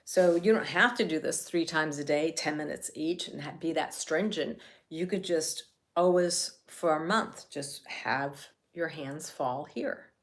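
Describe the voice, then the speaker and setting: A woman's voice: sits low, unhurried. A middle-aged woman from New Jersey, talking casually while guiding a self-care healing session, chatty and rambling with many restarts.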